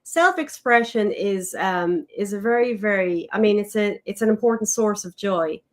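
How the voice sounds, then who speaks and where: A woman joins a casual conversation with clear enthusiasm. She rephrases herself mid-thought and keeps things friendly. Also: medium pitch, moderate pace. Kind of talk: casual chat.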